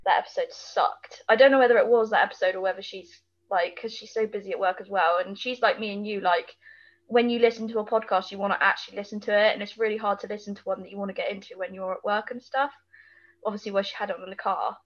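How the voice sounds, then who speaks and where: A woman's voice: mid-range voice, fairly even intonation, fast talker, muffled recording. A British woman co-hosting a podcast, bantering casually in a chatty, informal and teasing way. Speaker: podcast co-host. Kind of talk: casual banter about a TV episode.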